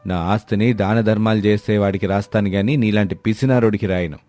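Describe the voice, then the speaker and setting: Deep-voiced indignant older landlord played by a man. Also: steady tone, a little fast, dark tone, no echo, natural voice. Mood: indignant scolding. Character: older male landlord uncle, hungry, blunt, indignant. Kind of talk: dramatised Telugu moral story acting.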